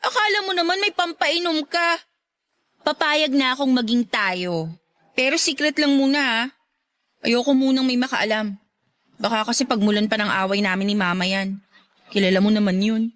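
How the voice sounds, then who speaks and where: Woman acting a high-voiced feisty young sweetheart. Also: normal speed, pauses often, a bit bright, no echo, lightly acted. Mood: grudgingly fond. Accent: Filipino. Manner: scolding, exasperated, blunt. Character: feisty, irritable young woman hiding her affection. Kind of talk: Tagalog radio drama acting.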